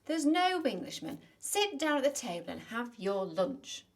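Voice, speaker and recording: A woman voicing a bossy old woman who scolds loudly in a storybook tale. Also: fairly high pitch, big pitch swings, a little slow, voice pushed up. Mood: scolding. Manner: scolding, loud and emphatic.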